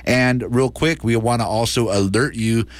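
A man speaks as a radio host in a medium-pitched voice. His announcing is casual and live, like a community station presenter talking to listeners. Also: fairly even intonation, normal speed, dry recording.

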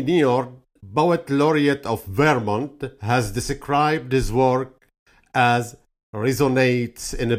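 A man hosting an interview, reading a formal introduction of his guest and asking questions deliberately. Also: mid-range voice. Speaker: interview host.

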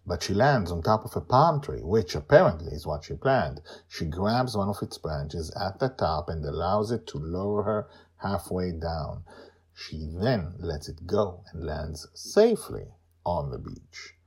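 Deep, husky, animated male storyteller. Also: measured pace. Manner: theatrical, emphatic. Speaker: storyteller and host. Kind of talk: dramatic scripted storytelling with asides to listeners.

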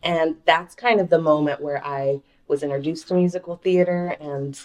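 A woman with a low voice speaks as a guest in an interview. She recalls her early years in a measured, even way, pausing on ums. She is from Ohio. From Ohio, United States. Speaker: guest, music director. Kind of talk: conversational interview.

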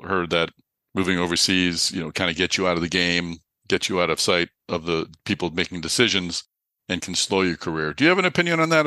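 A male podcast host with a very low voice and a bright, forceful edge. He frames long, friendly questions for his guest. The recording is dry, with no room sound. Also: dry recording. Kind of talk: conversational interview questions.

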